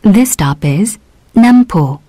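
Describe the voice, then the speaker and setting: Very slow, deliberate female transit announcer. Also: medium pitch, lively intonation, warm tone, no room sound. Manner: formal, deliberate. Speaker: English-language subway announcer. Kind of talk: scripted public transit announcement.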